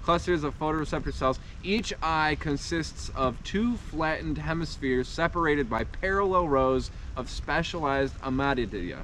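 A man's voice: fairly high pitch, animated, fairly bright tone, a little hiss or hum, rough recording. A young man co-hosting a hiking vlog, outdoors in bare woods, reading facts aloud and stumbling over hard words. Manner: reads haltingly, stumbles on words, laughs often. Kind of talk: casual chat, reading facts aloud from a phone. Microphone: 360 camera on a handheld stick, a few steps off.

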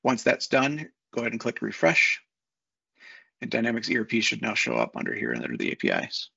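A man in his thirties walking through a software setup on a screen share, talking casually step by step with fillers, recorded on a desk mic at home. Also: medium pitch, wildly swooping pitch, fairly quick, vocal fry, slightly rough, no echo. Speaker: software consultant. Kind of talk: screen-share tutorial walkthrough. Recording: dull lo-fi dry sound.

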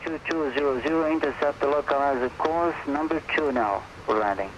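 Air traffic controller over muffled radio. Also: male voice, on the high side, fairly quick. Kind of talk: radio clearances.

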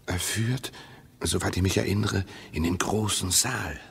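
A husky, low-voiced husband in a radio drama, insistent and mistrustful. His delivery is bright and forceful, with lively swings of pitch. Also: unhurried, exaggerated voice. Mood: guarded, measured. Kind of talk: German radio play acting.

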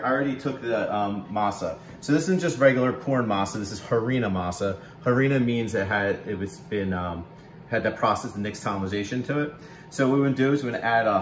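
A man's voice: fairly low pitch, slight background noise. Man demoing a recipe in an echoey kitchen. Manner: relaxed, instructive, many ums and uhs. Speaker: chef giving a cooking demo. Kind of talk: casual cooking demonstration. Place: large home kitchen, indoors. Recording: echoey kitchen room sound.

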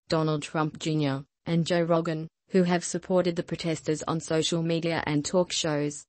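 Flat, low-voiced woman reading news. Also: no room sound. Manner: even, flat newsreader delivery. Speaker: news reader. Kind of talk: scripted news narration.

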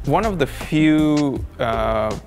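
A man in his thirties giving an explanatory interview in measured, deliberate phrases. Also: on the high side, lively intonation, over music. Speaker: audio consultant. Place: indoor carpeted function room.

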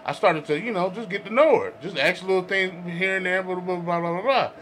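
A man's voice: high-pitched, a little fast, a bit bright. A man co-hosting a podcast, talking casually and insistently, often repeating himself, with slang and swearing. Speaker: podcast co-host. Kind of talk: casual group debate with slang and profanity. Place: small makeshift home studio, indoors. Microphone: podcast mics on boom arms. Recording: noticeable background noise.

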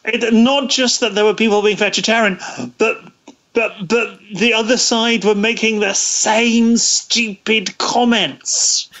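A man giving thoughtful interview answers, halting as he searches for words. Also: sits high, fairly even intonation, a bit bright. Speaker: interview guest, radio series producer.

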